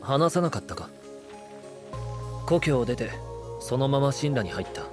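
A man's voice: mid-range voice, sing-song, a little slow. An actor voicing an aloof young male ex-soldier in a Japanese video game, speaking in a curt, detached way. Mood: cool, curt. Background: background music.

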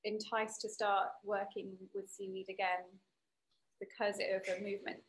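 A woman in her thirties hosting an informal interview over a video call, pausing often between phrases. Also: mid-range voice, flat delivery, rough recording. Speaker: interviewer, podcast host. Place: bright room at home, video call.

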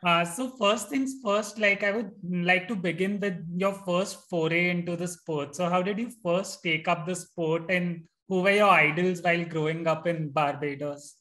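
A man's voice: sits high, fairly even intonation, moderate pace, hardly any pauses, sharp tone. A young man hosting an interview over a video call, asking long prepared questions. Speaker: host. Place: indoor room.

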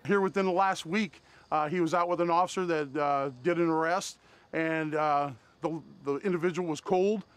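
Sheriff guy, kinda high voice, plain talk, lots of uhs. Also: steady tone, moderate pace, smooth. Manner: plainspoken, uses fillers. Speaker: county sheriff. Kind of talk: interview soundbite.

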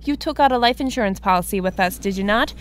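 An actress playing an unruffled, businesslike insurance receptionist, patiently explaining in a comedy audio drama. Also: female voice, mid-range voice, fast talker, natural voice. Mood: brisk, composed. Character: brisk, unruffled female insurance office receptionist.

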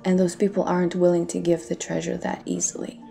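A woman's voice: fairly low pitch, monotone, a little fast, creaky, warm tone, faint music behind. A woman playing a bold, teasing pirate captain in an audio roleplay, speaking in a cocky, flirtatious manner. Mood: sly, businesslike. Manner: cocky, flirtatious, addressing listener with pet names.

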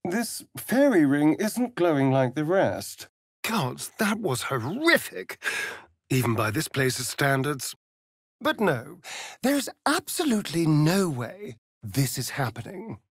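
An actor playing a vain, sardonic vampire rogue in a video game, with an arch, drawling, theatrical delivery. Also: male voice, on the high side, sing-song, slow pace, husky, dry recording, clean studio sound, exaggerated voice. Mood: dismayed. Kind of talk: video game character acting.

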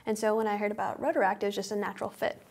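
A woman in her twenties from California's Central Valley, speaking as a guest in a TV studio interview, quick and upbeat with some fillers. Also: medium pitch, flat delivery, darkish tone, husky. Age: 20s. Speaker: club vice president and guest. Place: small TV studio.

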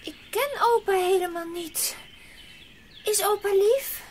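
A woman's voice: very high-pitched, slow pace, slight background noise. An actress playing an innocent, inquisitive little orphan girl in a children's radio play, timid and wondering. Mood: curious, uneasy. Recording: outdoor ambience with birdsong.

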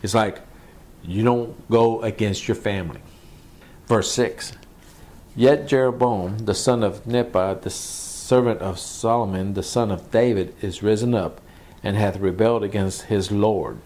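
A man's voice: on the low side, measured pace, a little mellow. A man, a pastor, teaching from the Bible in a conversational sermon, repeating phrases for emphasis.

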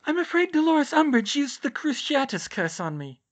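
A woman's voice: high voice, very animated, normal speed, voice pushed up. A woman voicing a serene, polite and unruffled teenage girl witch in a storybook.

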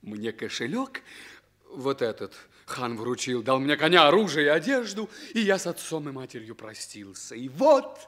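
Proud, swooping young prince. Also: male voice, a little high, fairly bright tone, exaggerated voice. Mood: proud, fervent. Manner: declamatory, emphatic. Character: proud young exiled prince in a fairy-tale tragicomedy. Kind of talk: theatrical radio drama acting.

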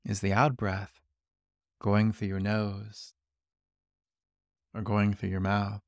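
A man leading a guided meditation, giving gentle, calm instructions with long, unhurried pauses. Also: fairly low pitch, dry recording, studio quality. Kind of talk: guided body scan meditation.

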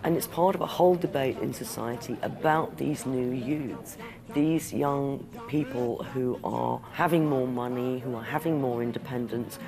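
A man's voice: mid-range voice, moderate pace, gravelly. A man interviewed as a historian, explaining social change in a lecturing way.

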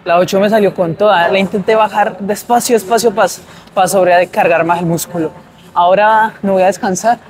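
A man's voice: high-pitched, steady tone, brisk. A young man, chatting upbeat and casual to camera as a fitness vlogger between sets in a gym. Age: young adult. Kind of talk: casual vlog chat to camera. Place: large indoor gym, then a shopping mall walkway.

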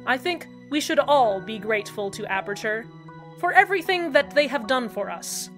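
A woman's voice: on the high side, animated, normal speed, a bit bright, soft background music. Woman doing an earnest small-town radio host. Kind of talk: fan audio drama acting.

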